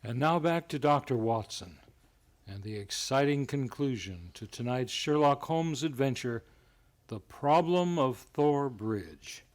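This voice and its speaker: Man as a mid-pitched mystery show announcer. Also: animated, unhurried, lightly acted. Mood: genial, suspenseful. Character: genial male radio announcer hosting mystery serial. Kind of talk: old-time radio show hosting.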